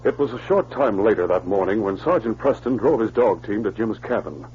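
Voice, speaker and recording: A man's voice: fairly low pitch, animated, fairly quick, close to an everyday voice. A man reading scripted narration for a 1940s radio adventure drama. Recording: muffled, noisy old radio recording.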